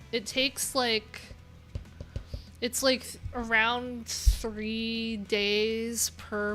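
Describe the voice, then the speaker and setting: A woman's voice: mid-range voice, fairly bright tone. A young adult woman hosting a coding livestream, talking casually and rambling with lots of fillers, with faint music in the background. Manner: chatty, rambling, many "like"s and "um"s.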